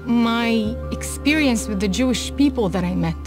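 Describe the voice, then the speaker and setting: A woman from Israel telling her personal story in an earnest, measured way. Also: fairly high pitch, expressive. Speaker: speaker in a personal testimony video. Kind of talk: personal testimony. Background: background music.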